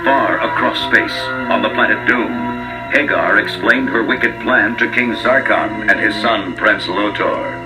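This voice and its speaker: A man's voice: fairly low pitch, measured pace, low-quality recording. A man narrating a scripted children's storybook as a dramatic storyteller. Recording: loud music behind.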